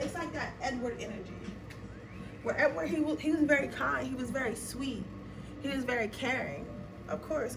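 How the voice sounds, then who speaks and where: Raspy young woman, chatty tarot reader. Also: a little high. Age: 20s. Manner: chatty, rambling and informal, with slang. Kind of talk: casual tarot reading chat. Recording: noisy room, voice a bit far from mic.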